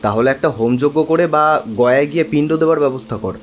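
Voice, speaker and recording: Man playing a brisk, practical friend. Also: fairly high pitch, close to an everyday voice. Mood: advising. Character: practical male friend advising the haunted narrator. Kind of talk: animated horror story dialogue. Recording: faint rain noise behind voice.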